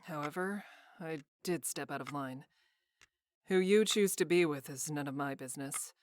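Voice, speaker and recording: A sly, haughty lady from a visual novel, voiced by a woman. Her voice sits low for a woman, with arch, formal phrasing and a playful, teasing edge. The sound is close and dry. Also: moderate pace, a little mellow, no room sound, natural voice. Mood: cool, haughty. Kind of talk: visual novel character voice reading.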